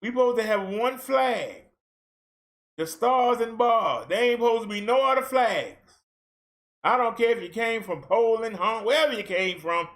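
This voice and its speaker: A man rants on camera in a casual, colloquial way, pelting listeners with rhetorical questions. His voice sits high for a man and rings bright and forceful. Now and then he breaks into a shout. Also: lively intonation, normal speed. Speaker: vlogger. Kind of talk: ranting social commentary.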